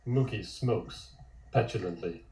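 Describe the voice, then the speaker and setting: Male narrator, soft-edged and relaxed, reading stage directions, echoey room. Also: fairly low pitch, takes it slowly.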